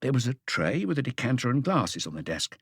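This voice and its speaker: An earnest police inspector in his thirties, voiced by a male narrator, gives a careful, deferential report in a detective audiobook. His delivery is animated and faintly husky, in a dry recording. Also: medium pitch, brisk, dry recording. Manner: detailed, deferential reporting with asides. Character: thirty-five-year-old male police inspector, earnest, eager, slightly awkward.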